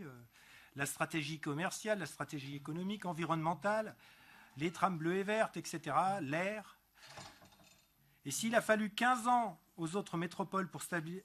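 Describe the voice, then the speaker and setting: A man speaking as an elected councillor, giving a prepared political speech in a deliberate, didactic manner with emphatic repetition. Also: fairly high pitch, a bit bright. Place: council meeting.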